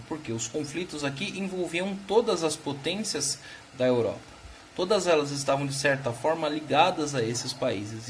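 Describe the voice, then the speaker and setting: A man's voice: mid-range voice, slight background noise. A Brazilian man teaching a recorded history lesson, explaining patiently and often checking in with his students. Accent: Brazilian Portuguese.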